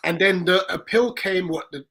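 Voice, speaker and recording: A man's voice: high-pitched, normal speed, bright, forceful tone, breathy edge. A man co-hosting a casual football fan watchalong, chatting about club news, reacting to the play and reading out viewer comments. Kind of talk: casual match watchalong chat.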